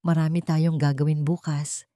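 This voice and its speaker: A woman with a Filipino accent voicing a wealthy, controlling older man in a narrated story, commanding and measured. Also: sits low, level tone, dark tone, no echo, studio quality, lightly acted.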